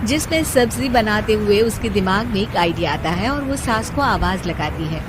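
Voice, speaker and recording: A woman's voice: medium pitch, expressive, normal speed, noisy background. A woman reading scripted story narration as the narrator of a family tale.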